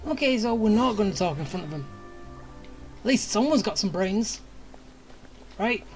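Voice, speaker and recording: A woman's voice: medium pitch, lively intonation, normal speed, soft background music. A woman streamer giving casual gameplay commentary with dry, sarcastic asides.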